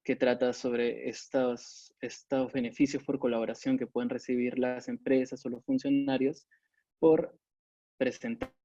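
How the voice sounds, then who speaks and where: Man, webinar lecturer, hesitant delivery, soft dark timbre, muffled call audio. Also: medium pitch, flat delivery, measured pace, no echo. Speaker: webinar presenter, competition agency adviser. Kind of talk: informal expert lecture with slides. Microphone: video call microphone. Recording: dull compressed webinar audio.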